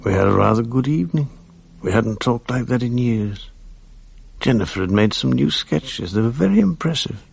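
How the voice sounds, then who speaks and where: An actor playing a guilt-ridden, self-loathing English husband in a radio drama, educated and apologetic, with a gravelly voice. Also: male voice, mid-range voice, animated, normal speed, full character voice. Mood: reflective. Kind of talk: radio drama acting, dialogue and first-person narration. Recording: 1980s radio drama with faint music.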